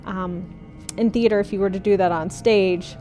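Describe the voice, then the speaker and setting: Woman, mid-pitched, thoughtful interviewee with hesitant phrasing, faint music underneath. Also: steady tone, normal speed, warm tone. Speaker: amateur voice actor. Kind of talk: documentary interview.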